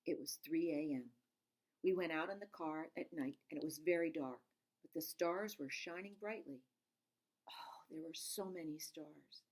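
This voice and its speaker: A woman reading a storybook aloud in an expressive, emphatic narrating style. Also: deep voice, a little slow. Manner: dramatic, emphatic, stops to explain words. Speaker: storybook reader.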